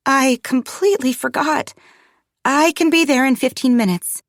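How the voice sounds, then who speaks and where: A woman's voice: mid-range voice, animated, normal speed, no echo, clean studio sound, lightly acted. A woman voicing an anxious, apologetic young mother with a flustered manner in an audiobook. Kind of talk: dramatized audiobook dialogue.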